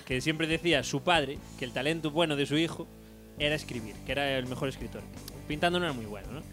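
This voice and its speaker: A man hosts a casual radio show, teasing and laughing with his co-hosts. His voice sits a little high, with faint music in the background. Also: quick pace. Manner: laughing, teasing, leads the segment. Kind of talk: casual chat.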